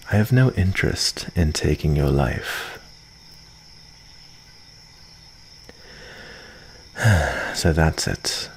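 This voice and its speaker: A man playing a calm, lonely, guarded vampire of the forest in an ASMR roleplay, with formal, dryly teasing phrasing. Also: a bass voice, creaky, slight background noise, full character voice. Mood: calm, dismissive. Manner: unhurried, soft-spoken formal phrasing with dry teasing. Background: cricket ambience.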